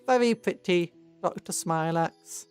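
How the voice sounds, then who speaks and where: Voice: medium pitch, a little slow, clear, resonant tone. British streamer just rambling along, kinda hesitant, restarts sentences a lot, bit of music in the background. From Britain. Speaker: livestream host. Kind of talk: casual rambling livestream chat.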